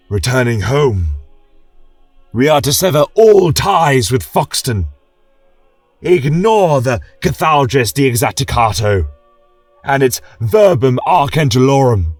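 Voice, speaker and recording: A man playing a weary, passionate warrior king with a rough voice, giving a solemn, declamatory speech to his people in a fantasy audio drama. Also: mid-range voice, pitched above the performer's own voice, exaggerated voice. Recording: echoey room, music behind. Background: choral music.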